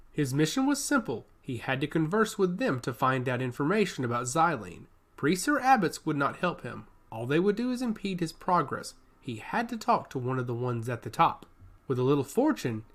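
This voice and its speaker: A man's voice: mid-range voice, fairly bright tone. A man reading scripted fantasy narration as a storyteller.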